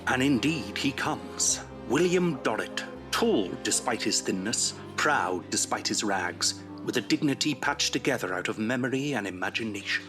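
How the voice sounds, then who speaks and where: A man narrating a period audio drama in a measured, literary storytelling manner. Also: medium pitch, expressive, music in the background, lightly acted. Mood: wry, compassionate.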